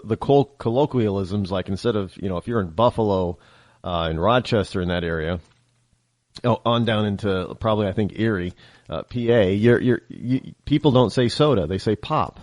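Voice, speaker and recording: Rambling radio host, upstate New York accent. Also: male voice, fairly low pitch, dry recording. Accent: central upstate New York. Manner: rambling, digressive, many restarts. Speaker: radio talk show host. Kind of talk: casual call-in radio talk.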